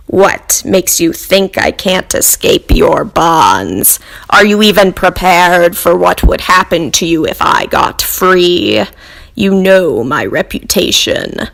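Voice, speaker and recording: A woman voicing a proud captive demon who taunts and threatens slowly and deliberately in a dramatic monologue. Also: fairly low pitch, gravelly, exaggerated voice, rough recording. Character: menacing bound demon, taunting, patient and proud.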